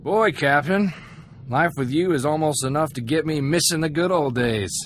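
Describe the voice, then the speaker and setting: A man's voice: fairly high pitch, normal speed, close to an everyday voice. An actor playing an earnest, idealistic young freighter crewman in a video game, talking in a folksy, chatty way. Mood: tipsy, nostalgic. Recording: clear background ambient noise.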